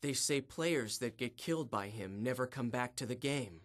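An actor playing a grim, menacing young male avenger in an anime game dub, low-key, brooding and coolly confident. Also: animated, normal speed, lightly acted. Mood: cold, quiet menace.

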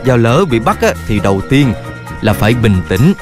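Casting: male actor as caring cartoon authority figure, mid-pitched man, lively intonation, instructive, over music. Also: moderate pace, lightly acted. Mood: concerned, instructive. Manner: instructive, admonishing. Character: caring adult male authority teaching children safety. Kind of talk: animated children's cartoon acting. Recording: music under the voice.

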